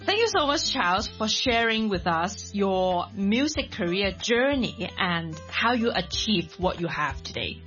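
A woman's voice: medium pitch, very animated, measured pace, few pauses, a bit bright, soft background music. Encouraging woman host, dull audio. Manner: encouraging, frequent short agreements. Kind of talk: interview hosting.

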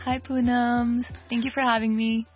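A woman's voice: a little high, flat delivery, normal speed, muffled recording, soft background music. A woman in her twenties from Hong Kong, chatting casually and reflectively as an interview guest. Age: 20s.

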